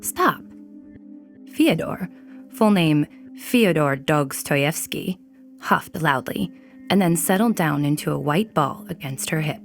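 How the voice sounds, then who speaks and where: A woman narrating a scripted dark story. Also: deep voice.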